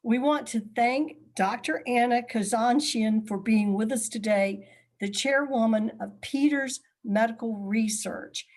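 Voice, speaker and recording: A woman's voice: a little high, unhurried, darkish tone. A woman interviewer asking her guest polite, friendly questions.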